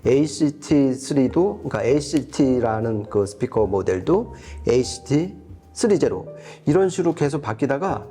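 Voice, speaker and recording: A man's voice: medium pitch, animated, moderate pace, warm tone, soft background music. A man giving an explanatory product review as a co-host, going step by step and rephrasing as he goes.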